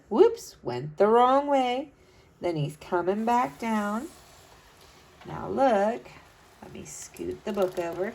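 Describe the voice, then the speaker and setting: Slow, lively woman reading to children. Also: mid-range voice, creaky. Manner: animated, addresses children directly. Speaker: storyteller reading a picture book to children. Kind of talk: expressive read-aloud storytelling with asides to young listeners.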